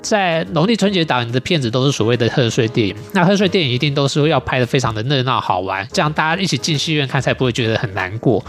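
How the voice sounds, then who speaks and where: A man's voice: medium pitch, animated, quick pace, few pauses, fairly bright tone, faint music behind. A man in a casual, enthusiastic film discussion, talking like a show regular reviewing movies.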